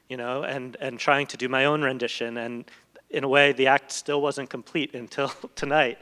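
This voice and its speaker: Middle-aged man, clear smooth voice, reflective and hesitant, winding sentences, long hall reverb. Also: mid-range voice, a bit bright. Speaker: literary translator, guest speaker. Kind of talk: on-stage conversation, reflective and unscripted. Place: large event hall stage.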